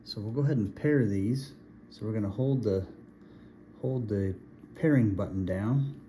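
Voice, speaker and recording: A man's voice: fairly low pitch, moderate pace, heavy background noise. A man giving a casual, plainspoken hands-on product demonstration, explaining things step by step as a reviewer.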